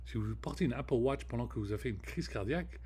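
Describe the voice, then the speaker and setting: A man's voice: mid-range voice, expressive, fast talker, warm tone, breathy edge. A man hosting his own podcast, talking casually in with many restarts and fillers and slipping into English words. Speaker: podcast host. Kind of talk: casual monologue to camera.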